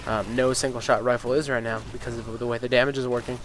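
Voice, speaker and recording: A man's voice: mid-range voice, quick pace, a little hiss or hum. A man with a stuffy, sniffly nose, chatting casually over gameplay, rambling with lots of ums and getting worked up about game balance. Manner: rambling, with many ums and uhs, and swears freely; gets excited and incredulous about game balance. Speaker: gaming YouTuber.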